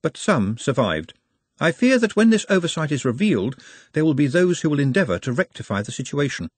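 A man's voice: fairly high pitch, big pitch swings, fairly quick, breathy edge, no room sound, pitched above the performer's own voice. Man playing a meek, precise, mournful orc. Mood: resigned. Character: meek, earnest, formally spoken male orc.

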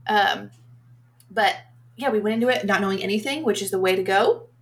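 A woman's voice: mid-range voice, brisk. A woman co-hosting a podcast, chatting casually about films in an emphatic, opinionated and sweary way. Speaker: podcast co-host. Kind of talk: casual film chat.

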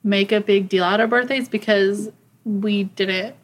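A woman's voice: mid-range voice, steady tone, unhurried. A young adult woman hosting a podcast, leading a casual chat and laughing often. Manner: upbeat, laughs often, leads the conversation. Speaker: podcast host.

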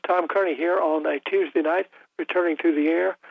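An older man hosting a call-in radio talk show, talking in a halting way with many fillers and restarts, his voice a little rough. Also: a little high, steady tone, no echo. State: voice slightly rough after illness. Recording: dry, tinny broadcast audio.

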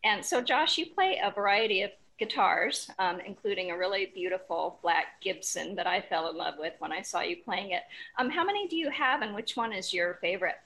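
Woman hosting with a medium-pitched voice. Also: expressive, fairly quick, few pauses, sharp tone, rough recording. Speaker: show host, interviewer. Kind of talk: casual music interview.

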